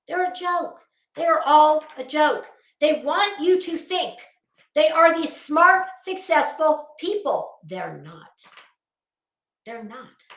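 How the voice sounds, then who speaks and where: A woman's voice: high voice, vocal fry, fairly bright tone. A woman giving an unscripted opinion rant as a channel host, in a blunt, scornful and emphatic manner. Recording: roomy, echoing sound.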